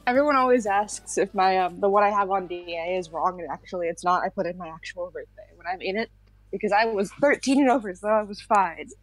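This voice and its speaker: A woman in her twenties with a middle-pitched voice, chatting casually and sounding amused at her friends. Faint music plays behind her on the call. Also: brisk, no room sound, rough recording. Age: 20s. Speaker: guest on a stream call. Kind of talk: casual chat.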